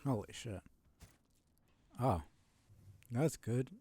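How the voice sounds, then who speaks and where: A young man streaming a video game, muttering low-key commentary to himself and swearing when things go wrong. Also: extremely slow, warm tone, a little hiss or hum. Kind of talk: casual gameplay commentary.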